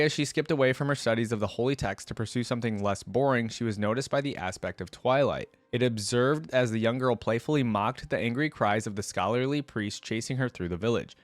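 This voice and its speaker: A man's voice: mid-range voice, dry recording. A man hosting a reaction channel, talking casually as he reads text aloud and comments on it.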